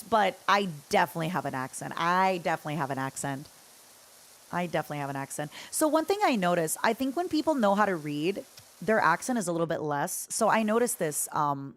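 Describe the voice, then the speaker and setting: A woman livestreamer chatting casually as she games, rambling and playful as she talks to her viewers. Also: lowish voice. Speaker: livestreamer, host. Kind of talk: casual chat while gaming, answering chat.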